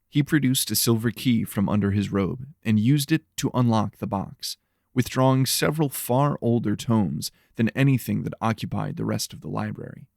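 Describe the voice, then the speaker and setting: A man narrating a scripted fantasy horror story in a measured, serious storytelling manner. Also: medium pitch, creaky, no room sound, clean studio sound.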